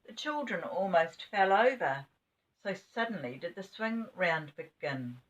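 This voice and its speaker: A woman's voice: fairly low pitch, very animated, unhurried, far from the mic, muffled recording. An older woman reading a children's storybook aloud as a grandmother would, expressively and with small asides to her listeners.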